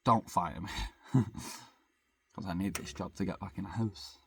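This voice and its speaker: A man's voice: low voice, steady tone, moderate pace, gravelly, a little hiss or hum. A young man, rambling in a casual van-life vlog, swearing freely and joking about himself. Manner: rambling, swears freely, self-deprecating jokes. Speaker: vlogger. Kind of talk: casual chat. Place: living in a van.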